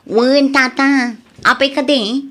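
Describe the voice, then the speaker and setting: A woman's voice: high-pitched, fairly even intonation, slow, fairly bright tone. An actress with an Ilocano accent playing an outspoken village woman in a radio comedy drama. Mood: reproachful.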